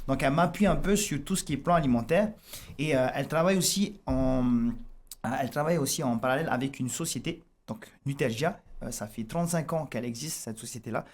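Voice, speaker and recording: A man's voice: mid-range voice, quick pace. A man with a Tahitian accent, a fitness coach guest on a radio interview, explaining eagerly with many restarts and fillers. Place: radio studio.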